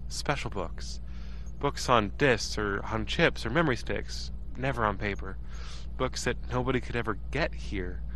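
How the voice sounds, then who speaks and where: A man's voice: medium pitch, a bit bright, low-quality recording. An actor playing an anxious, confiding young man, narrating an audio drama in the first person with earnest delivery. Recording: faint noise and hum behind.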